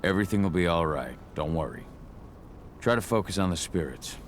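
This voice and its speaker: An actor voicing a gruff werewolf warrior and steady, protective father in a video game, in a calm, measured, gravelly voice. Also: low-pitched, soft background music. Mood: steady, reassuring. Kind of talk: video game cutscene acting.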